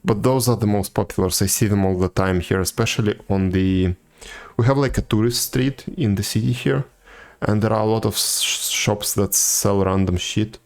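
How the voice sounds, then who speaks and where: A man chatting casually as a live game streamer, musing aloud in a low-key, halting way. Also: a little mellow.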